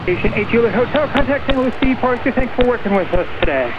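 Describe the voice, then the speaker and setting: Guy doing tower atc on radio, high voice, clipped phrasing, plane noise behind. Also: expressive, fast, dull audio. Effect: aviation radio. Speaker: air traffic controller. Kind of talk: radio air traffic instructions. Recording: noisy air traffic radio, aircraft ambience.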